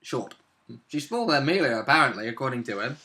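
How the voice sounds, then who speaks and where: A man's voice: medium pitch, big pitch swings, fast talker, sharp tone. A young man chatting casually about a tabletop game as a player. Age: young adult. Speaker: co-host, player. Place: small furnished room at home.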